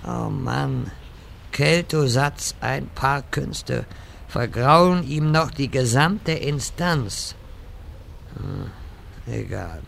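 A man plays a grandiose wizard leading heroes in a parody radio play. The voice is forceful and gravelly, with a slow, solemn, declamatory delivery and long-suffering undertone. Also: mid-range voice, expressive, a little hiss or hum, full character voice. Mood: exasperated.

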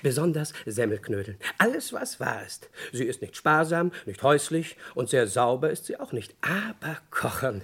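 A man's voice: mid-range voice, very animated, moderate pace, creaky, hardly any pauses, slightly rough, full character voice. An actor playing a long-winded, over-polite, cheerfully oblivious nosy male neighbour in a comic radio play. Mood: chatty, over-polite. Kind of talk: comic German crime radio play, 1950s-80s.

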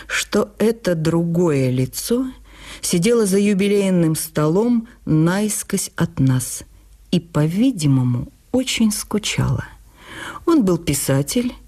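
An actress playing an earnest, wounded woman writer, narrating her memoir for radio in an expressive, confiding, deliberate manner. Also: mid-range voice. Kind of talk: dramatized first-person literary narration for radio.

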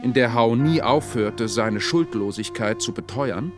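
A man's voice: medium pitch, expressive, a little slow. A man narrating a radio drama in a formal, factual, scripted reading. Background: music.

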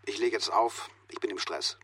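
Raspy, curt police inspector. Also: male voice, on the low side, normal speed, a bit bright, faint music behind. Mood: guarded, impatient. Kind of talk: naturalistic radio drama dialogue.

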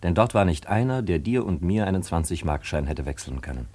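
A man narrating a radio play in a measured, literary scripted style. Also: sits low, animated, warm tone, slightly rough.

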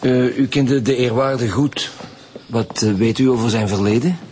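An actor with a Flemish accent playing a dry, insistent police commissioner in a radio drama, probing with pointed remarks. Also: male voice, mid-range voice, moderate pace, darkish tone, slight background noise, lightly acted. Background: music in the background.

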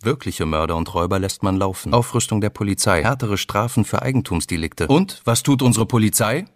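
An actor playing an indignant, emphatic commentator who declaims in terse, clipped phrases in a radio play. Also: male voice, lowish voice, lively intonation, brisk, no room sound, clean studio sound, natural voice.

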